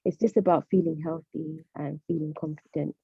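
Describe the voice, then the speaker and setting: Woman, low voice, dull dry audio. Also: steady tone, normal speed, creaky, mellow, slightly rough, no room sound. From Zimbabwe. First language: Shona. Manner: friendly, hesitant, with many fillers. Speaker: personal trainer. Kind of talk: guest self-introduction.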